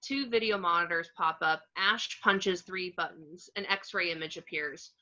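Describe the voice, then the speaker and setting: A woman's voice: fairly low pitch, a little slow, pushed and bright, lo-fi sound. A woman reading screenplay stage directions aloud as scripted narration.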